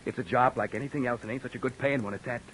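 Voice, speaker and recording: A man playing a gruff but decent beat cop who talks in streetwise slang and narrates his story, in 1940s radio acting style. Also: mid-range voice, rapid-fire, fairly bright tone, slight background noise, close to an everyday voice, rough recording. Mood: heated. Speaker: police officer and narrator.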